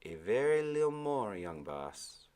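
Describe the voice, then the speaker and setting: Man voicing a slow, stubborn old sailor. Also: lowish voice, very animated, darkish tone, smooth. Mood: firm, patient. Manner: slow, folksy dialect speech. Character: stubborn old Caribbean sailor, wary, quietly firm. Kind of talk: storybook character voice in a read-aloud.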